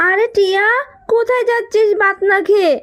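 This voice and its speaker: A woman's voice: very high voice, fairly even intonation, fairly quick, fairly bright tone. A woman voicing a worried, chiding mother from a poor family in a fairy-tale cartoon. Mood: fretful. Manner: fretful, chiding. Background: music.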